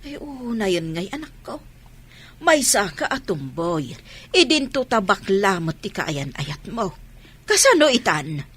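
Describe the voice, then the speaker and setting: A woman playing an excitable, fretful middle-aged village mother in an Ilocano radio comedy drama, with exclamations and drawn-out scolding. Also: mid-range voice, breathy edge. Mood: exasperated. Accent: Filipino, Ilocano.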